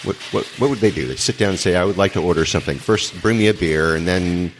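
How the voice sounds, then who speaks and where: A man's voice: deep voice, quick pace, background noise. A man hosting a travel show, interviewing a guest in a joking, casual way and often repeating words as he goes. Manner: joking, repeats himself, lively.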